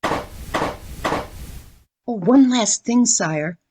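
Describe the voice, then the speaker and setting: A woman's voice: a little high, expressive, drawn-out words, background noise. Woman playing an eager, ingratiating petitioner. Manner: deferential, ingratiating. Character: polite, eager fairy-tale petitioner courting favor. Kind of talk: comic radio theater acting.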